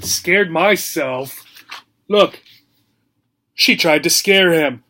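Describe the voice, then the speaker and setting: A man reading a picture book aloud as a teacher, in simple short phrases, with animated questions for young listeners. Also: a little high, extremely slow. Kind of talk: children's read-aloud lesson, asking questions.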